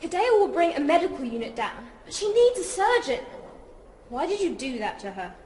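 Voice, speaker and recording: A woman playing a cool, privileged heiress in a radio drama, measured, formal and detached. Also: sits high, big pitch swings, a little mellow. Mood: displeased, composed. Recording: echoey room, faint noise.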